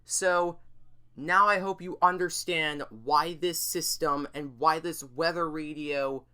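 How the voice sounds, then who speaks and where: A woman hosting a video, making an earnest, emphatic appeal to viewers in a halting delivery. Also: low-pitched, steady tone, pushed and bright, smooth. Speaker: video host.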